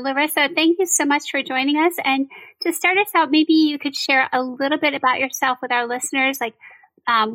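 A woman's voice: sits high, pushed and bright, rough recording. A woman hosting a podcast and interviewing a guest in a friendly, encouraging way. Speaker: podcast host.

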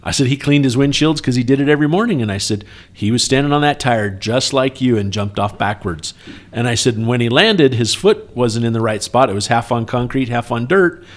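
A man's voice: medium pitch, fairly quick. A man with a mid-range voice speaks as a co-host on a workplace trucking podcast. He explains things earnestly and conversationally, often looking for agreement as he goes. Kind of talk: casual workplace talk with anecdotes.

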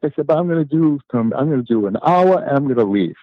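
A man's voice: on the high side, animated, quick pace, no room sound. Man telling stories, dry muffled sound. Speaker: DJ and former record promoter, interview guest. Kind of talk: casual anecdotal interview conversation.

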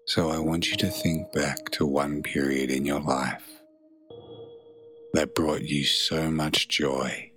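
A man narrating a guided meditation in a soothing, measured way, leaving long pauses between phrases. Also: a bass voice, steady tone, fairly bright tone, soft background music.

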